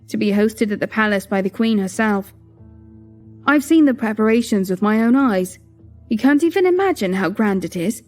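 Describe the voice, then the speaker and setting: Audio drama maid played by a woman: young, servile yet emphatic, devoted to her mistress, higher pitch. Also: a little mellow, lightly acted. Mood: excited. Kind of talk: melodramatic audio drama acting.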